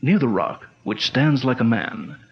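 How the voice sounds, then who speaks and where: A calm, commanding pulp hero. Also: male voice, medium pitch. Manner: measured, authoritative. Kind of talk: 1940s radio acting. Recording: dull lo-fi old-radio sound.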